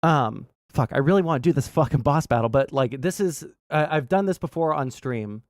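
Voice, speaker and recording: A man's voice: medium pitch, lively intonation, dry recording, clean studio sound. A man hosting a casual gaming livestream, rambling through his points in a blunt, sweary way and often checking in with "right?". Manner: rambling, halting explanations peppered with "right?". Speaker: livestream host.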